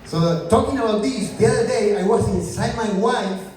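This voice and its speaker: A man from Argentina with a strong Argentine accent, performing a deadpan stand-up set on a comedy club stage. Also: high-pitched, normal speed, recorded from a distance. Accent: Argentine Spanish. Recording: echoey club audio from afar. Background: laughing crowd.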